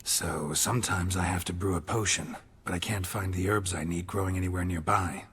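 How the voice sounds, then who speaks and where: Gruff game monster hunter, deep husky voice, weary, dry understated delivery, reverberant. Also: male voice, fairly even intonation, brisk, fairly bright tone, full character voice. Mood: matter-of-fact. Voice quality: gravelly, husky. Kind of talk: video game voice acting.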